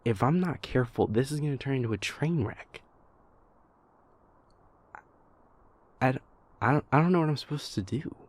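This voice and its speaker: A man playing an anxious, self-doubting vampire prince who confides in his lover in an intimate audio roleplay, stammering and repeating himself in a husky, gravelly voice. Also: medium pitch, expressive, a little mellow, lightly acted. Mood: stressed, overwhelmed. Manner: halting, stammering, repeats words, hesitant.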